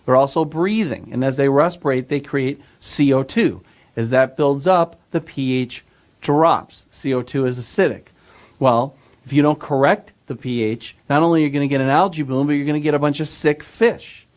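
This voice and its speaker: A man with an average-pitched voice talks through an explanation in an informal way. He sounds unscripted and conversational, leaning on everyday comparisons and checking in with rhetorical questions. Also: normal speed, a little mellow.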